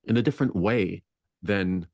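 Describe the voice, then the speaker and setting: A man with a deep voice speaking as a podcast guest in a reflective conversation, searching for words and building intensity on key points. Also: moderate pace, gravelly, a little mellow, dry recording, clean studio sound.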